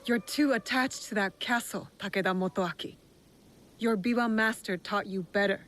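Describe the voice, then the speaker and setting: A woman's voice: mid-range voice, moderate pace, a bit bright, slight background noise, natural voice. A woman playing a stern, principled young shinobi in a video game cutscene, earnest and reproachful.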